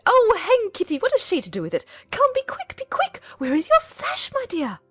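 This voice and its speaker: A woman's voice: huge pitch swings, normal speed, a bit bright, soft background music, exaggerated voice. A woman voicing a fussy, bossy middle-aged mother with urgent exclamations in a dramatized classic-novel audiobook. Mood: exasperated, urgent. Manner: shrill, urgent exclamations and pet names.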